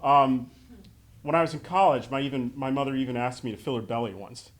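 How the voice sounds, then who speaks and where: A man's voice: medium pitch, fairly quick. A middle-aged American man delivering a prepared memorial speech to an audience in a heartfelt, conversational way. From Western New York State, United States. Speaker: son giving opening remarks at a memorial.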